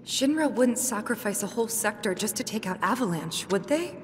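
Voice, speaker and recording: A woman's voice: mid-range voice, lively intonation, brisk, slight background noise, close to an everyday voice. A young American woman playing an earnest, caring, athletic fighter in a video game. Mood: worried, urgent. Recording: long reverb, game music behind.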